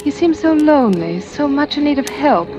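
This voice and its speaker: A woman's voice: high voice, sing-song, moderate pace, over music. An actress playing an eager, kind-hearted young woman in 1940s radio drama, chatty and tentative in her asides. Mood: wistful. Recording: noisy old radio broadcast.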